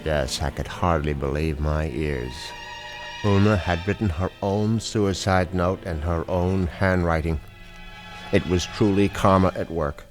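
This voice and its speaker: Deep-voiced, scheming radio-drama husband. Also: male voice, measured pace, music in the background. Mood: smug, confiding. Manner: falsely sweet, sardonic. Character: scheming, sardonic husband plotting to kill his rich wife. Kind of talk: radio mystery acting with first-person narration.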